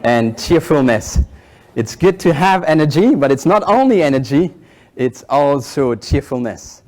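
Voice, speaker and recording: A man in his forties whose first language is German, giving a conference keynote in halting English with animated storytelling. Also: a little high. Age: 40s. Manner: animated storytelling, halting non-native phrasing. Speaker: keynote speaker.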